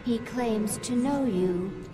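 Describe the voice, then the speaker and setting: An actress playing a dutiful alien advisor who speaks slowly and formally. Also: female voice, on the high side, big-room sound, far from the mic, music in the background. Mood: detached. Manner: slow, formal, detached. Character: alien swarm advisor, female, dutiful, cold.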